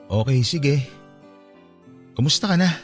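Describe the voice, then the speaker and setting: Man acting a troubled young Filipino ex-boyfriend, mid-pitched. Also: expressive, takes it slowly, soft background music. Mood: tentative, caring. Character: troubled, gentle young man, bitter about his father. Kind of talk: radio drama dialogue acting.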